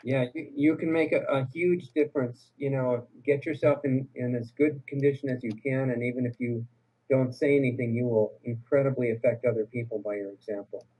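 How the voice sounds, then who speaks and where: A man's voice: medium pitch, fairly even intonation, fairly quick, dark tone, slightly rough, rough recording. A man speaking as a guest on a call-in radio show, earnest and encouraging, often restarting his sentences. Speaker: guest health researcher.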